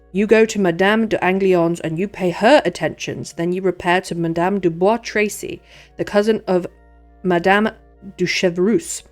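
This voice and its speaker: A woman voicing a posh, superior male musketeer, speaking from the front of her mouth with her lips pushed out, loud and lecturing. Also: lowish voice, lightly acted. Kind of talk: audiobook read-along with voices.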